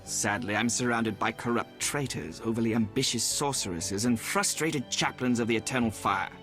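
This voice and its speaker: An actor playing a shrewd, proud young royal in a video game, speaking formally and with self-assurance. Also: male voice, mid-range voice, normal speed, fairly bright tone, soft background music, lightly acted. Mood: shrewd, self-assured. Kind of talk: video game dialogue acting.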